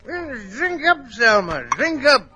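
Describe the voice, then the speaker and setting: An actor playing a rough, boozy ex-convict valet, slangy and swaggering, in a 1940s radio drama. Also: male voice, very high-pitched, big pitch swings, slow pace, sharp tone, faint music behind, exaggerated voice. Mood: boozy, jovial. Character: rough, boozy working-class ex-convict valet, blustering villain. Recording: dull old radio recording.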